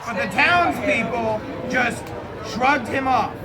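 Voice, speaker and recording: Male live comedy storyteller, strikingly high voice, amused, given to asides, recorded amid a very loud crowd. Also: slow pace. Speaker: storyteller hosting an audience word game. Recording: loud crowd noise, roomy live event. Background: loud laughing crowd.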